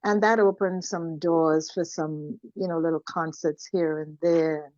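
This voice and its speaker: An older woman with a Trinidadian accent, telling her life story conversationally as an interview guest, in an expressive, unhurried way. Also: deep voice, dry recording, dull audio.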